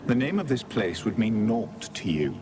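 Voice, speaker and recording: An actor playing a guarded spirit in animal form with formal, archaic speech in a fantasy video game. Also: male voice, lowish voice, sing-song, normal speed, background noise. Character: curt, formal male spirit in animal guise.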